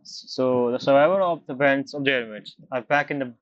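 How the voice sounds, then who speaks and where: A game streamer commenting casually in halting, non-native English, often correcting themselves. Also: medium pitch, no echo, highs cut off. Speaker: game streamer reacting to the story. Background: faint game music.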